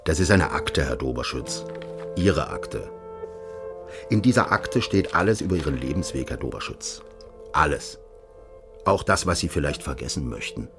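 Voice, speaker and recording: A very deep-voiced, insinuating official. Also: male voice, lively intonation, music in the background. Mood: quietly menacing. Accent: German. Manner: courteous, insistent, deliberate phrasing with repeated direct address. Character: smooth, insinuating East German official offering a sinister bargain. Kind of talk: radio drama acting.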